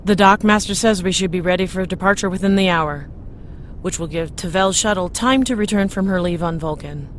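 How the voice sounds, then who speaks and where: First officer, female actor: composed, loyal, caring; lowish voice with ship rumble behind. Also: close to an everyday voice. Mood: businesslike. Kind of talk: science fiction audio drama acting.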